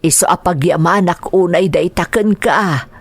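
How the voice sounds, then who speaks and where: A forgiving, earnest mother in middle age for a radio drama. She speaks with a Filipino accent in a crackly, fry-laden voice, recorded very dry. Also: lowish voice, measured pace, dry recording. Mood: grateful, soothing. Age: middle-aged. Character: kindly, grateful mother, an older sister figure, forgiving and earnest. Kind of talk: Ilocano radio drama acting.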